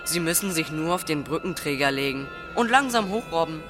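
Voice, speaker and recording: A woman's voice: low voice, animated, brisk, over music. A woman playing a cunning thirteen-year-old boy in a radio play, coolly insistent and mock-polite. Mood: sly, mock-polite. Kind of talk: German radio play acting.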